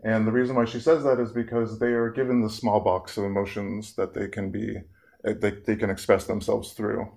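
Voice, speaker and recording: A man speaking as a therapist in an earnest, measured public talk, pausing often and using fillers. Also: lowish voice, level tone, vocal fry, darkish tone.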